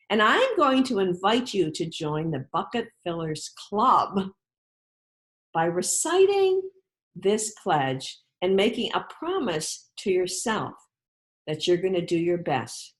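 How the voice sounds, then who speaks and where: A woman author reading aloud and talking directly to children in an encouraging, instructive way with deliberate, emphatic phrasing. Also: mid-range voice.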